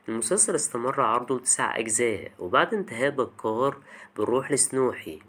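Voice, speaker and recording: A young Egyptian man narrates a video essay at a brisk clip. His Egyptian Arabic is colloquial and chatty, and he speaks straight to the listener like a storyteller hosting his own show. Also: mid-range voice, a bit bright.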